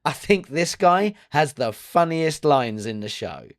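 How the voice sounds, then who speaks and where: A man's voice: fairly high pitch, expressive, measured pace, dry recording. Man narrating dramatically, close dry sound. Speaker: video essay narrator and reviewer. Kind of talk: scripted dramatic commentary.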